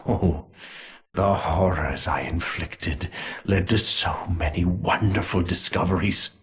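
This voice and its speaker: Husky, sinister conspirator villain. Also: male voice, deep voice, heavily acted. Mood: gloating. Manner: relishing, theatrical, deliberate. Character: sinister, gleefully cruel conspirator man, smugly menacing. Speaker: villain character in a video game. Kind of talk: scripted villain monologue.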